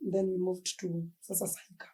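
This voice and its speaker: A woman's voice: lowish voice, a little fast. A young Kenyan woman with a Kenyan accent, a podcast guest in casual chat, easily mixing slang and English. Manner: relaxed, mixes Swahili slang and English.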